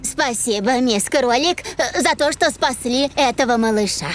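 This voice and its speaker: A woman voicing a kindly, gentle hamster vet who fusses over her pet in a children's cartoon. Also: high voice, moderate pace, soft background music, natural voice. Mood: polite concern.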